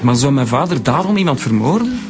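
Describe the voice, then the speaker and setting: A suspect's grown son in a radio drama, Flemish and mid-pitched. He is curt, defensive and indignant, with clear music behind him. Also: big pitch swings, brisk.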